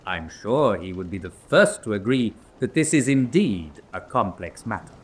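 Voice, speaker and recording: An actor playing a relentless, precise military prosecutor in a radio drama, questioning formally and pressing hard. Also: male voice, on the low side, sing-song, moderate pace. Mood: formal, measured. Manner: formal, pressing cross-examination. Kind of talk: radio drama courtroom acting.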